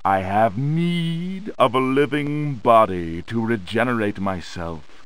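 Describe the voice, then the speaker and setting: An actor voicing a menacing, boastful centuries-old undead pirate sorcerer in a slow, theatrical, gloating video game monologue. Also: male voice, medium pitch, animated. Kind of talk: dramatic narrated villain monologue in a video game.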